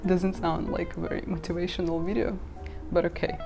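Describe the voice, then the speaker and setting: A woman's voice: lowish voice, level tone, brisk, gravelly. A woman in her twenties, from Ukraine and a native Russian speaker, talking candidly to camera about earning money online, laughing at herself now and then. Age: 20s. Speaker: vlogger. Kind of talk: casual talk to camera. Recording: guitar music playing behind.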